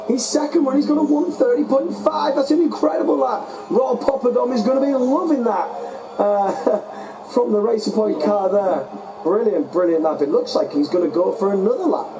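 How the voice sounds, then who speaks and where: A man with a fairly high voice gives a lively, chatty play-by-play of a sim race. His voice is getting worn and hoarse, and steady noise sits under a home recording. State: losing his voice. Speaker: lead commentator. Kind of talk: sim racing commentary.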